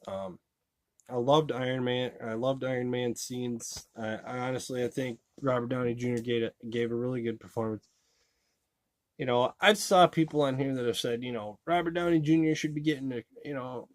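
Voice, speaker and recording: A man talks through a movie review in a loose, rambling way, leaning on fillers and restarting his sentences. His voice sits at an ordinary pitch. The recording is dry and close with no room echo. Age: adult. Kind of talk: casual spoken review.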